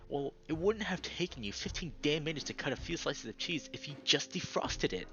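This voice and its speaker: A man's voice: fairly high pitch, expressive, a little fast, bright, forceful tone, muffled recording, voice pushed up. A man voicing a grumpy, exasperated male housemate in a visual novel, snappish and sarcastic. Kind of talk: visual novel character dialogue.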